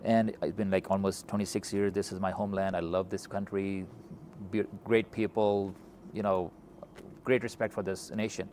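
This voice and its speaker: A middle-aged man with a Pakistani accent and a fairly low voice hosts a conversational interview. He retells things in an animated way, over noticeable background noise. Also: moderate pace. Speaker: interviewer, physician hosting a talk.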